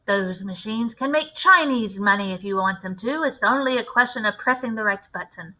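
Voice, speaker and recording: A woman voicing a stern, protective old grandmother in a storybook, firm and measured. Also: medium pitch, pushed and bright, low-quality recording. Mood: stern certain.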